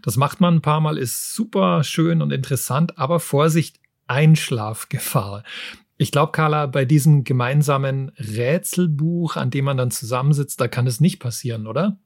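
A man's voice: medium pitch, moderate pace, gravelly, no room sound, clean studio sound. A man from Munich co-hosting a podcast in casual conversation. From Munich, Germany. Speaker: podcast co-host.

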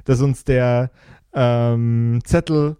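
A man hosting a casual podcast, talking in a halting, playful way and stretching out words. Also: mid-range voice, steady tone, mellow, smooth, no room sound, clean studio sound. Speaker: podcast host. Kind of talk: casual chat and supporter thank-you roll call.

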